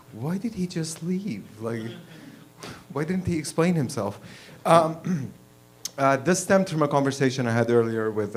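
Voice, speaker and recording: A man in his thirties from Lebanon telling a personal story at an open mic, blunt and profane, laughing and getting louder as he gets worked up. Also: medium pitch, slight background noise. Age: 30s. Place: small performance venue, in front of an audience.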